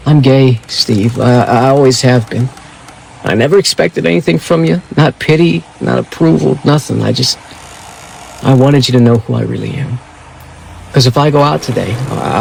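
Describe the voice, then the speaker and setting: A man playing a vulnerable, devoted army private in an audio drama, speaking haltingly and openly. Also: mid-range voice, gravelly, slight background noise, low-quality recording. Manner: halting, confessional.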